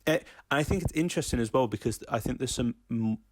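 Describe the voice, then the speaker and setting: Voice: mid-range voice, fast, noisy background. A podcast host chatting casually, feeling out phrases in a conversational way. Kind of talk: casual chat.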